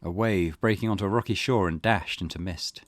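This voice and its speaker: A man's voice: low voice, brisk, no room sound. A man hosting a let's play, reading visual novel text aloud expressively with casual comments. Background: loud game music.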